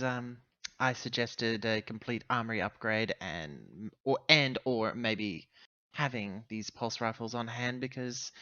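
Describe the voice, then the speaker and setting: A man's voice: mid-range voice, bright, forceful tone, dry recording. A man playing an earnest corporate agent briefing his crew in a tabletop roleplay, hesitating as he searches for words, on a lo-fi recording.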